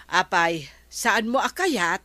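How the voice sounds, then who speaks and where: A polite, slow-spoken young wife. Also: female voice, mid-range voice, lively intonation, pushed and bright, no echo. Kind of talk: radio comedy drama.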